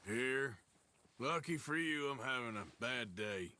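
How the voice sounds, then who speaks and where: An actor playing a dry-humored gunslinger with a laconic drawl in a western video game. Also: male voice, medium pitch, animated, a little slow, bright, forceful tone, a little hiss or hum. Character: gruff dry-humored male cowboy gunslinger.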